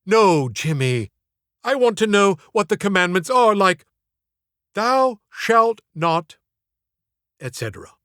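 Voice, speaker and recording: An exasperated Sunday school mistress from a comic audiobook, acted out by a man. She is earnest and pleading, drawing out her words with emphasis. The voice sits fairly high and the sound is dry. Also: expressive, slow, long pauses, a bit bright, no room sound, full character voice.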